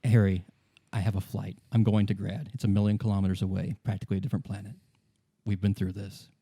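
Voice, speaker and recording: Man acting as weary ex-lover woman, late thirties, tabletop roleplay, resigned, quiet, firm, mellow low voice. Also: little pitch movement, fast talker.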